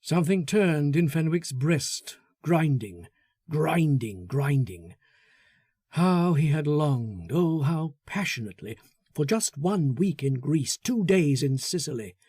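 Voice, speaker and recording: A man narrating a literary story as an audiobook, dramatic, deliberate and intense in his delivery. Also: fairly high pitch, expressive, darkish tone, husky, dry recording, studio quality.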